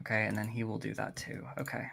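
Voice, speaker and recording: A man's voice: lowish voice, little pitch movement, fast talker, vocal fry, bright, forceful tone. A man running a tabletop game casually as game master. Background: music.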